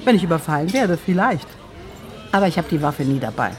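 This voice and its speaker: A woman's voice: low voice, animated, brisk, music in the background. An actress playing a flirtatious, teasing woman on a dinner date in a radio crime drama, playful and coaxing. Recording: busy restaurant chatter behind the voice.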